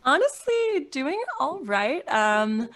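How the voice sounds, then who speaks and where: A woman's voice: high-pitched, very animated, takes it slowly, a bit bright. A young woman, chatting casually and jokingly on a video call. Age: young adult. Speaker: podcast cast member. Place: bright bedroom, video call. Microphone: microphone with round pop filter.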